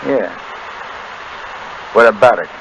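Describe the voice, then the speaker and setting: A man's voice: lowish voice, slow pace, fairly bright tone. A man acting a surly, suspicious, slangy small-time gangster in a 1930s radio drama. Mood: surly, wary. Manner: tough slangy talk. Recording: dull, noisy old 1930s radio recording.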